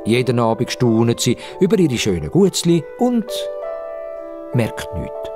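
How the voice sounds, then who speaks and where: A man with a Swiss German accent narrating a children's story dramatically, with suspenseful pauses and repetitions. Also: mid-range voice, over music. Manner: expressive, suspenseful, with repetitions for effect.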